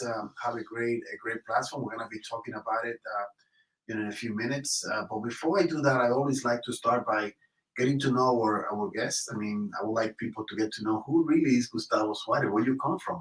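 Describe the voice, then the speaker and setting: A man hosting an in-person interview, effusive and full of restarts, in a high-rise building. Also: brisk, roomy sound, distant mic, low-quality recording. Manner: warm, effusive, many restarts. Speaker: interview host. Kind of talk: casual interview.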